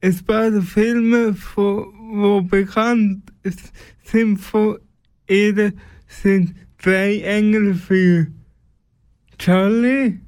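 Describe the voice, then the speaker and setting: A radio presenter giving a celebrity birthday segment in a careful, halting way with some stumbles. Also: mid-range voice, steady tone, a little mellow, no room sound.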